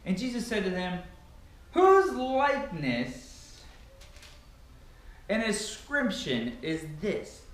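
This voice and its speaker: A man's voice: high-pitched, very animated, slow pace, long pauses, a bit bright. A man giving a solemn, declamatory dramatic reading of scripture as an amateur reader. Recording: very echoey, reverberant room.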